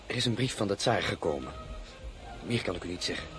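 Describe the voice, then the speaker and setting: Faint music sits behind an earnest, deferential count. His courtly voice swings widely in pitch. He speaks formally, like a royal secretary in a radio play. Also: animated. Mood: urgent, guarded. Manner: courtly, formal, measured urgency. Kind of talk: dramatized novel, radio play acting.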